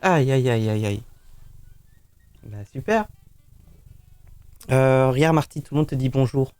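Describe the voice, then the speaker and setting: A man's voice: mid-range voice, unhurried, dark tone, clear, resonant tone, dry recording, faint music behind. A man streaming live, chatting casually and rambling teasingly to his cat.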